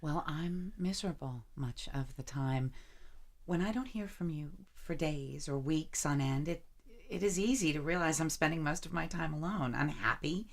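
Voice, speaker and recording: An actress playing a lovesick, anxious and reproachful lover in a naturalistic audio drama, halting and emotional. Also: female voice, low voice. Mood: hurt, reproachful.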